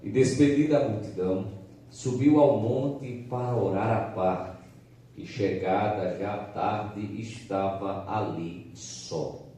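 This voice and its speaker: A man's voice: on the low side, slow pace, dark tone, a little hiss or hum. A man from Pernambuco with a Pernambuco accent, preaching as a visiting evangelist with formal, emphatic scripture reading in a church. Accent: Pernambuco Brazilian Portuguese. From Pernambuco, Brazil. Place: church service. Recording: echoey church hall, from far off.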